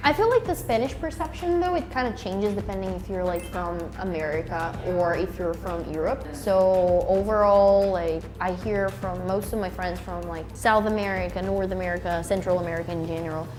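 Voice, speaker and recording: A young Brazilian woman with a Brazilian Portuguese accent, chatting casually in a bubbly, lively way full of fillers. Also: medium pitch, a little fast, hardly any pauses. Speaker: conversation guest. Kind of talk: casual chat. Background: background music.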